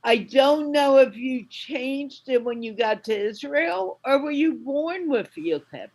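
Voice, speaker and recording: A woman from the United States hosting a friendly video call chat, asking questions at an unhurried pace. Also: high-pitched, lively intonation, a little mellow. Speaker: host.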